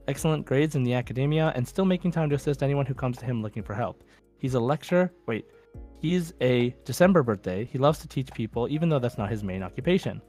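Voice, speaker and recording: A man's voice: mid-range voice, a little mellow. A man hosting a podcast in casual chat, reading out listener messages with informal, goofy asides. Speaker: podcast host.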